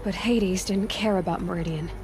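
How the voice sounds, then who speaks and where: A woman's voice: fairly low pitch, normal speed, natural voice. An actress playing a young female hunter in a video game, bold and skeptical, with a pointed, sardonic and challenging way of speaking. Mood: accusing, sardonic. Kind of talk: video game cutscene acting. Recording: clear background noise and ambience.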